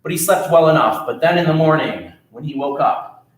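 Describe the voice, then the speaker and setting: A man telling a story at a children's storytime, animated, adding sound effects and asking the children questions. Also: a little high, moderate pace, reverberant, low-quality recording. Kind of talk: children's storytime read-aloud.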